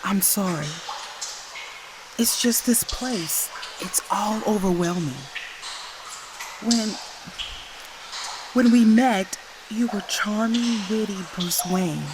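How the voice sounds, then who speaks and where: Woman acting earnest glamorous girlfriend, dramatic and slow, motion comic, noisy audio. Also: mid-range voice. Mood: overwhelmed, troubled. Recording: clear background noise.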